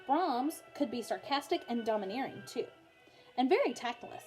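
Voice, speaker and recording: A woman's voice: on the high side, expressive, moderate pace, darkish tone, rough recording. A young adult woman, a music teacher, speaking informally as she reads and talks to her pupils. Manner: informal, often breaking off to soothe a small child. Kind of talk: reading a biography aloud for pupils, with casual asides.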